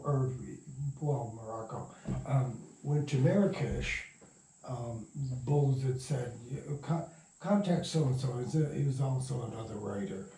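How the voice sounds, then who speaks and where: An older man with a mellow, soft-edged voice reminisces as an interview guest. He speaks haltingly, repeating words as he searches his memory. He sounds set back from the microphone in an echoey room, with faint noise. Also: medium pitch. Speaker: interview guest, radio drama producer. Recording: roomy, distant mic, faint noise.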